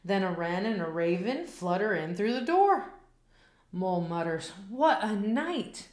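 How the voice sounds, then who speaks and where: A woman reading a children's picture book aloud in a slow, expressive, rhythmic way, after a casual spoken intro. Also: lowish voice, reverberant.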